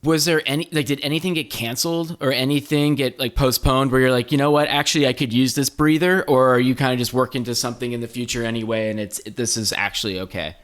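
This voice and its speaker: An American man with a medium-pitched voice, co-hosting an interview podcast. His delivery is informal and chatty, the kind that swears freely. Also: steady tone, fast talker, few pauses, fairly bright tone. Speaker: podcast co-host. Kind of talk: casual chat, interviewing.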